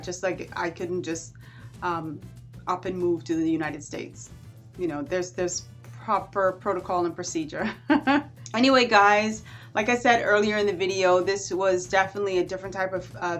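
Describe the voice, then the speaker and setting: A woman from the Bahamas with a Bahamian accent, chatting to camera on her vlog in a lively, animated way. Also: lowish voice, moderate pace, soft background music. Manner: chatty and animated, with warm asides. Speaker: vlogger. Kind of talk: casual chat to camera.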